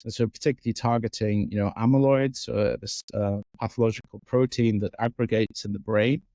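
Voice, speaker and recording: A man's voice: on the low side, steady tone, fairly quick, warm tone, no echo. A man in his forties from Wales, a neuroscientist answering interview questions thoughtfully, with many fillers and restarts. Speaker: guest neuroscientist. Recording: dull lo-fi audio.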